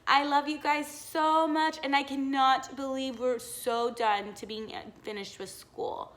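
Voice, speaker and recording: Bright-voiced woman teacher reading to children. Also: high voice, a little slow. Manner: expressive, child-directed, encouraging. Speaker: teacher reading to young pupils. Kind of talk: storybook read-aloud with questions to children.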